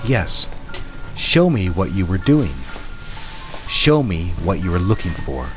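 Domineering husband, low male voice, coldly commanding, audio drama over music. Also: very animated, slow pace, full character voice. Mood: menacing demanding. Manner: interrogating, domineering, clipped orders. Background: music bed.

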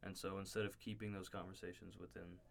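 A man's voice: sits low, flat delivery, quick pace, creaky. A man in his twenties co-hosting a casual podcast from a dorm room, earnest and explaining at length with fillers. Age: 20s. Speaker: podcast co-host. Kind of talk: casual chat.

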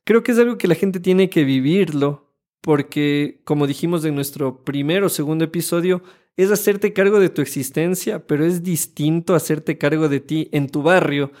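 A man in his thirties from Ecuador with an Ecuadorian accent, co-hosting a casual podcast in a studio and acting out the people he quotes. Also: a little high. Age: 30s. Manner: animated, acts out quoted people, slows to stress points. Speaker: podcast co-host. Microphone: studio microphone.

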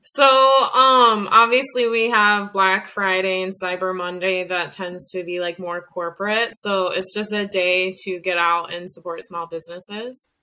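A woman's voice: mid-range voice, steady tone, measured pace, hardly any pauses, sharp tone. A woman in her early thirties co-hosting a podcast, chatting in an upbeat, talkative way. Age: 30s. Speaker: podcast co-host. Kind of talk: casual chat.

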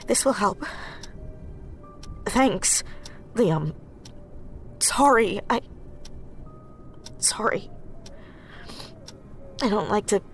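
Halting, slow-spoken young woman activist. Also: mid-range voice. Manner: halting, hesitant, apologetic. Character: distressed, apologetic young woman activist, self-reproaching. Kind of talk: naturalistic audio drama acting.

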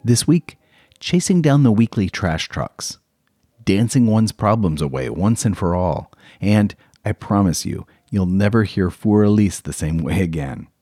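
A man introducing a podcast episode in a measured, dramatic scripted narration. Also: fairly low pitch, lively intonation, dark tone, husky, no echo, studio quality. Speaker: podcast host.

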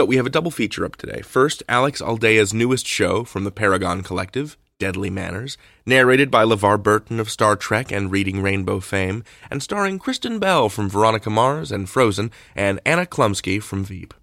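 A male podcast host gives a conversational introduction with a playful streak. His voice is on the low side, creaky at the edges and widely varied in pitch, recorded close and dry. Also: a bit bright, dry recording.

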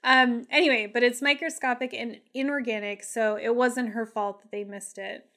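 A woman with a fairly high voice talks through a TV episode as a podcast co-host. She is opinionated and emphatic, and swears freely in a casual, chatty way. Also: steady tone, moderate pace, sharp tone. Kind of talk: casual TV episode recap and commentary chat.

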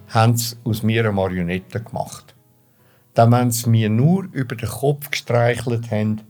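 A man's voice: medium pitch, a little mellow, breathy edge. An older man with a Swiss German accent tells his own story spontaneously, emphatically repeating words for stress. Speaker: interview guest telling his life story.

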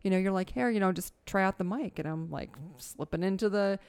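A middle-aged woman with a soothing voice, co-hosting a casual podcast at home, easygoing and quick to laugh. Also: mid-range voice, a little mellow, clean tone, no room sound. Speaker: podcast co-host. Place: home dining room.

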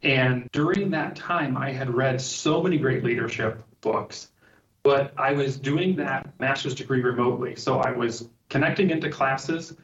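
A middle-aged man from Liberia, a podcast guest, answering thoughtfully and linking his ideas with "and so". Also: medium pitch. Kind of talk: interview answers. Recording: distant, roomy, dull remote call audio.

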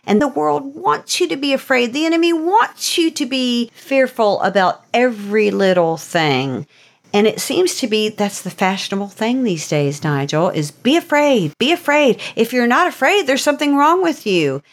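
A woman's voice: on the high side, big pitch swings, moderate pace. A woman host teaching in an earnest, conversational devotional style, often posing rhetorical questions as she explains. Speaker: host and storyteller.

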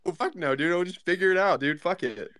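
A man's voice: high-pitched, a little fast, fairly bright tone, no echo. A man in a casual group chat, slangy and profane, repeating his phrases as he goes.

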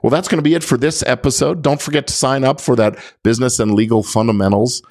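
A man's voice: mid-range voice, steady tone, fast, a little mellow, dry recording, studio quality. A man hosting a podcast, explaining things in a methodical, conversational monologue. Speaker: podcast host giving legal guidance.

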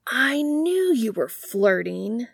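A man's voice: very high-pitched, sing-song, slow pace, creaky, a bit bright, breathy edge, no echo, studio quality, voice pushed up, exaggerated voice. A woman voicing an affectionate, amused younger brother in a story, teasing and given to whining and exclaiming.

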